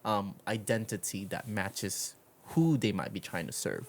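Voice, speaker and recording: A man's voice: on the low side, expressive, unhurried. A man hosting a podcast in a casual, conversational interview style, rambling and encouraging as he talks. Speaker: podcast host.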